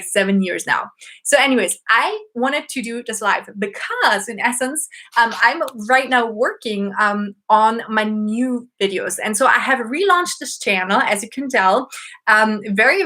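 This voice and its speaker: A bubbly woman in her thirties with an Austrian German accent chats on a home live stream, her voice bright and a little high. She talks freely, with plenty of fillers and restarts, and sounds like she is speaking from a desk at home. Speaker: YouTuber and business owner. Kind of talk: casual live stream chat.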